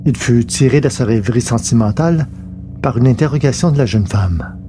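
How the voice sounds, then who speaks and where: A man's voice: medium pitch, brisk, noisy background. A man narrating a science fiction serial from a script, as a storyteller.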